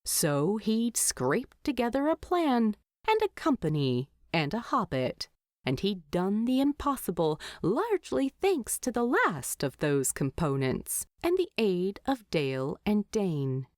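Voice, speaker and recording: A woman reading scripted fiction aloud as a storyteller, expressively and with deliberate dramatic emphasis. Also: mid-range voice, very animated, darkish tone, no echo, studio quality. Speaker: fan fiction narrator.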